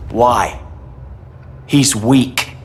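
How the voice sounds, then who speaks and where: An actor playing the arrogant, disdainful son of a hero, speaking with a haughty sneer. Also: male voice, medium pitch, drawn-out words, slight background noise. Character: arrogant young man, the hero's disdainful son.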